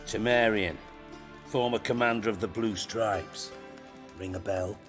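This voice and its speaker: Wry game underworld boss, played by a man: lowish voice, measured and sardonic, music behind. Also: fairly even intonation, natural voice. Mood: wry, shrewd. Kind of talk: video game voice acting.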